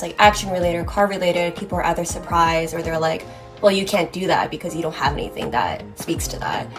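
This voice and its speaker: A young woman filmmaker from South Korea, talking casually about her work with frequent "like" fillers and light laughs. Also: lowish voice. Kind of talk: reflective interview talk. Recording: voice over loud music bed.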